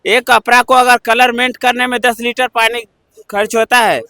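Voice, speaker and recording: Very high, sharp-voiced Bihari man debating. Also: flat delivery, normal speed, dry recording, studio quality. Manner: preachy, repetitive, pleads to be heard. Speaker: member of the public debating in a street interview. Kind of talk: heated street debate. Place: outdoors on a city street in Delhi.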